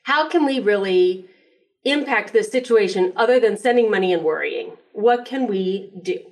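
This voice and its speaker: Female podcast host, medium-pitched, conversational, recorded a little off the mic. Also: animated, moderate pace, recorded from a distance. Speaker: professional organizer and motivational speaker.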